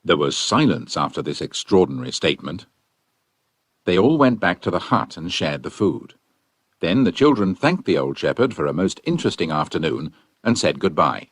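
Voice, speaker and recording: A man narrating a scripted children's story in a measured, dramatic storytelling manner. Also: low voice, very animated, breathy edge, no room sound.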